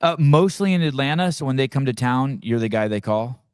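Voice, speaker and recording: A man's voice: mid-range voice, expressive, brisk, darkish tone, smooth, dry recording, clean studio sound. A man from California hosting a casual podcast interview, joking and rambling, often stumbling and restarting his sentences. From Santa Cruz, California. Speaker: podcast host.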